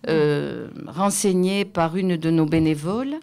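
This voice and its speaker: A woman speaking spontaneously as an association organiser in an interview, searching for her words in halting phrases. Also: low voice, mellow, clear, resonant tone.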